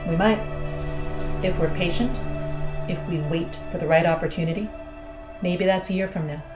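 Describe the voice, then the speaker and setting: A cynical female astronaut played by a woman in an audio drama, speaking in a lowish voice. She is blunt, sardonic and persuasive as she pushes an escape plan. The sound is roomy, with faint music behind her. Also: normal speed, lightly acted.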